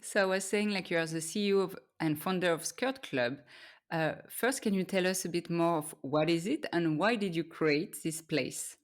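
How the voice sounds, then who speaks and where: A woman's voice: on the low side, normal speed. A native French-speaking woman with a French accent, casually chatting as a podcast interviewer.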